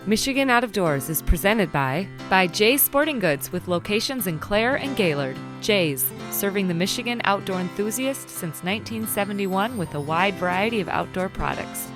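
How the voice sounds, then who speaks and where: A woman announcing the sponsors of a television show in a crisp, scripted read. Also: mid-range voice, expressive, normal speed, few pauses, music in the background.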